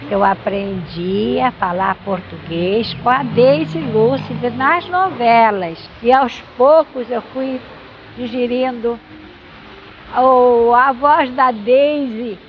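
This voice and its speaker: Woman talking slow, lo-fi, faint traffic noise behind. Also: on the high side. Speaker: interviewee. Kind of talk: documentary interview.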